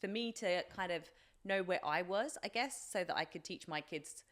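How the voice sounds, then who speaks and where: A woman's voice: on the low side, moderate pace, a bit bright. A British woman in her forties talking reflectively about herself as an interview guest, in an informal, hesitant way with plenty of filler phrases. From England, from age three. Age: 40s. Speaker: podcast guest, life coach.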